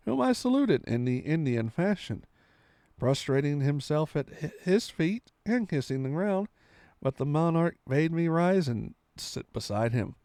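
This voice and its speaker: Animated male storyteller, close dry sound. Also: fairly high pitch, no room sound. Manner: theatrical, stumbles over the text and corrects himself. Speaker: storyteller, reading aloud on a live stream. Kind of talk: dramatic storybook narration.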